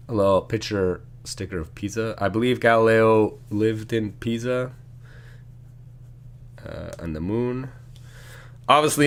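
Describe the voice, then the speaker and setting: A man with a hoarse, congested voice from a sinus infection, casually chatting through a live stream while building a set and reading the chat. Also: fairly low pitch, a bit bright. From Canada. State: losing his voice from a sinus infection.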